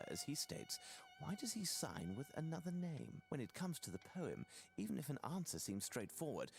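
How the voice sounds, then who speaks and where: A cerebral Victorian detective, mid-pitched, with a hugely expressive, swooping intonation. He speaks in measured, formal phrasing, and clear music runs under the game dialogue. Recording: game audio with music behind.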